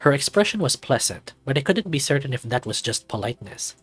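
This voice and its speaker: A man giving measured, formal first-person narration of a scripted story. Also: medium pitch, big pitch swings, slightly rough, no echo, faint music behind.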